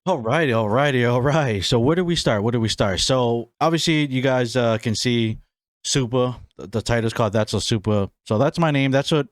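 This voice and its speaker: A man's voice: mid-range voice, moderate pace, dry recording, clean studio sound. A young man from Hawaii hosting his own podcast, chatting casually about himself with a rambling, friendly manner full of fillers and restarts. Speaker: podcast host. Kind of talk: casual solo podcast chat.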